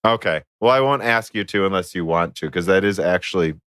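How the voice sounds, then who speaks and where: A man's voice: sits low, fairly quick, a bit bright, clear, resonant tone, no echo, clean studio sound. An American man hosting a casual tech podcast, chatty and rambling with frequent fillers. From United States. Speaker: podcast host.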